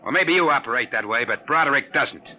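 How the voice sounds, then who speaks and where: An actor playing a tough, blunt private detective in clipped 1950s radio drama style. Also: male voice, mid-range voice, lively intonation, fairly quick, pushed and bright, slight background noise. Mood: defiant. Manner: hard-boiled, clipped. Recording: dull old radio drama recording.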